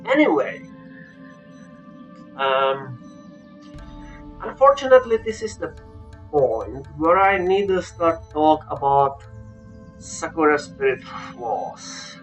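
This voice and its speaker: A man with a non-native English accent giving an informal, unscripted game review, halting but emphatic about his opinions. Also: fairly high pitch, sing-song, faint music behind. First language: not English.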